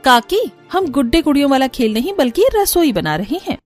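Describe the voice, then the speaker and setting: A woman's voice: sits high, big pitch swings, fairly quick, faint music behind. A woman voicing a cheerful, determined young village woman in a cartoon, earnest and eager. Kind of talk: animated Hindi cartoon story acting.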